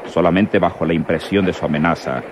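A man's voice: low-pitched, fairly even intonation, a little fast, noisy background, close to an everyday voice. A man acting as the solemn narrator of a radio crime serial. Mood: dramatic, suspenseful. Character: solemn male storyteller of a rural crime serial.

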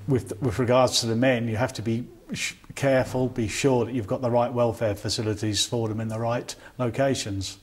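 A man in his fifties, a project director, speaking in an earnest, measured way in a corporate interview. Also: medium pitch. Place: indoor office with display boards. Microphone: lapel mic.

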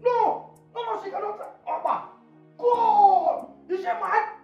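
An actor with a Nigerian accent playing an excitable, fickle friend in a comic film, exclaiming, repeating himself and stammering. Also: male voice, high voice, moderate pace, gravelly, echoey room, dull audio, soft background music, heavily acted. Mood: outraged, frantic. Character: excitable, food-loving male friend, comically fickle peacemaker. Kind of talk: broad comic film acting.

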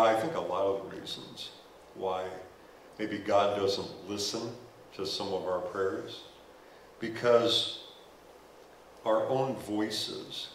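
Older pastor preaching in an echoey church. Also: male voice, fairly low pitch, a little hiss or hum. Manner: conversational preaching with humorous asides; gestures while walking the stage. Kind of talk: sermon. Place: church stage, indoors. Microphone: headset mic. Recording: roomy church sound from a distance.

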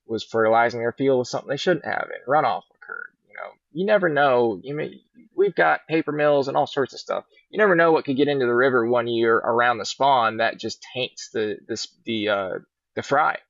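A middle-aged man with a gravelly edge talks in an easygoing, rambling way about life as a fishing guide. His voice arrives dull and muffled through a video call. The sound is dry, with little room echo. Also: mid-range voice. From Virginia. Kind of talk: casual podcast interview. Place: large indoor boat showroom. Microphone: laptop webcam on a video call.

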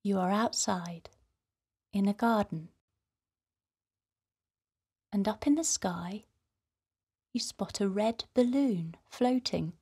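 A woman hosting a guided meditation for children, speaking in a gentle, soothing, softly breathy way. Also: medium pitch. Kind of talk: guided relaxation narration for children.

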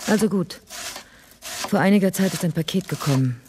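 An actress playing a frank, dryly compassionate village spinster in a radio drama. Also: female voice, fairly low pitch, normal speed, noisy background, lightly acted. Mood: matter-of-fact.

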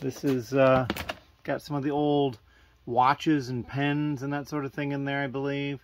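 A man's voice: medium pitch, level tone, unhurried, heavy background noise. Rambling man filming while unpacking. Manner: rambling, filler-heavy, thinking aloud. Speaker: vlogger, toy brick reseller. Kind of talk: casual vlog narration. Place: cluttered basement storage room, indoors.

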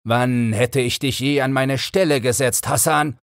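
A man's voice: medium pitch, fairly even intonation, measured pace, creaky, smooth, dry recording, studio quality, pitched above the performer's own voice. A man voicing a fearsome, wrathful robber chief in a storybook, commanding, menacing and formal. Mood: furious.

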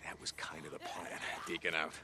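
A man playing a gruff, weary American biker survivor, loyal to his own, in a video game, with a gravelly voice. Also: rumbling low, flat delivery, a little fast. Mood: gruff, determined.

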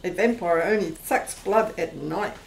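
A woman's voice: lowish voice, a little slow, creaky. A woman playing a sociable vampire hostess in an amateur radio play. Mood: hostly, jolly. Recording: echoey room, faint noise.

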